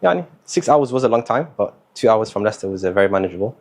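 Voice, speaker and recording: A young man, talking as a guest in a casual interview, relaxed and hesitant, with many fillers and Arabic words mixed in. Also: lowish voice, rapid-fire. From Somali, born in the Netherlands, raised in England. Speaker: guest, university student.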